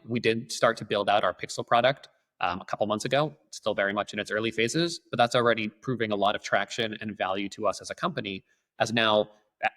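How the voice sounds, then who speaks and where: A man's voice: on the low side, fairly bright tone. A man speaking as an interview guest, explaining things in a detailed, businesslike way. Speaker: podcast guest, advertising company lead.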